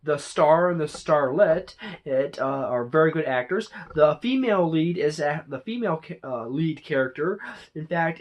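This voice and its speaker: A reviewer hosts a channel with a casual, unscripted monologue. The voice sits at a middling pitch. The delivery is halting and rambling, with frequent self-corrections along the way. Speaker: movie reviewer and channel host.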